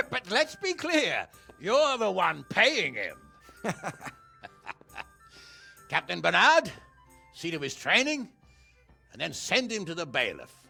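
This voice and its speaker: Casting: gruff jovial lord, highish gravelly voice, bright and forceful, game drama. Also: male voice, wildly swooping pitch, slow pace, long pauses, soft background music, full character voice. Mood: wry, commanding. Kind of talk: video game cutscene acting.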